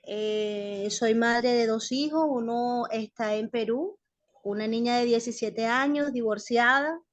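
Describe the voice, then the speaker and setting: A woman's voice: on the high side, takes it slowly. A middle-aged woman with a Venezuelan accent introducing herself as a student in an online class, earnest and grateful. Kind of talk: personal self-introduction to a class. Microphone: online group call microphone. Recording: dull lo-fi online call audio.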